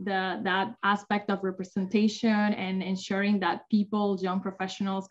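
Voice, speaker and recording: A woman's voice: medium pitch, darkish tone. A young woman from the Dominican Republic giving an earnest introduction as a panelist in a webinar, with many fillers. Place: home office with bookshelves. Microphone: video call. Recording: muffled video call audio.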